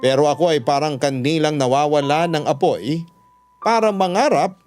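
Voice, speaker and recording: A man's voice: fairly high pitch, moderate pace, darkish tone, soft background music, lightly acted. A male actor with a Filipino accent playing a regretful young father, earnestly narrating his confession in a radio drama. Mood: hurt, defensive. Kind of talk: dramatized first-person radio letter narration.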